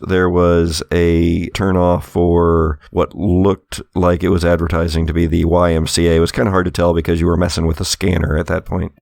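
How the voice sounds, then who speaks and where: A man's voice: low-pitched, creaky, darkish tone, no room sound, studio quality. A man narrating a tabletop roleplaying game as game master, describing scenes in a conversational, slightly hesitant way.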